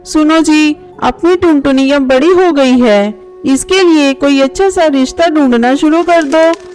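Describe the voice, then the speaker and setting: A woman's voice: high voice, measured pace, a little mellow, soft background music, rough recording. A woman voicing a practical middle-aged mother bird in a moral-story cartoon, earnest and persuasive in a motherly way. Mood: coaxing, concerned.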